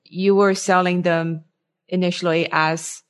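A woman's voice: on the low side, little pitch movement, measured pace, clean tone. A woman interviewing a guest in a casual way, phrasing her questions tentatively and piece by piece.

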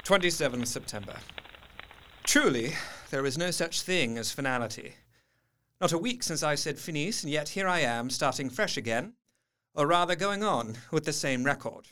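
A man's voice: fairly high pitch, lively intonation, normal speed, bright, forceful tone. An actor playing an educated, skeptical doctor in an audio drama, articulate and precise as he tells his diary. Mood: reflective.

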